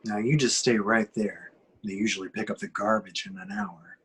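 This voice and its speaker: A man playing a gruff, confident superhero, stern and dry, with a husky voice and clipped delivery in a script read. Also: on the low side, steady tone, normal speed, fairly bright tone. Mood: cool, confident. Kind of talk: online table read of a film script.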